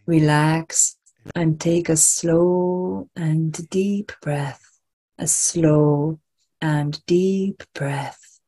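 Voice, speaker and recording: A woman guides a meditation at an extremely unhurried pace. Her voice is soft and husky with a mellow, slightly low tone. She is soothing and deliberate, leaving long pauses between repeated phrases. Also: steady tone, rough recording. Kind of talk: slow guided relaxation meditation.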